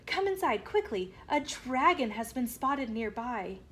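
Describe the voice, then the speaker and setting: A storyteller acts out a noble lady and worried mother in a children's storybook. She is a woman with a raised pitch, giving urgent instructions in measured words. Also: very animated, a bit bright. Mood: relieved, urgent. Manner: urgent, directing.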